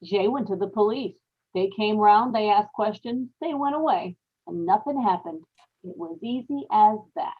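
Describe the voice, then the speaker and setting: An actress playing a guilt-haunted, murderous young wife in a brooding, confessional old-time radio horror monologue. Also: female voice, medium pitch, normal speed, slightly rough, narrow, tinny audio, natural voice. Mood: bitter, unhinged. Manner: dramatic, brooding confessional with repeated phrases.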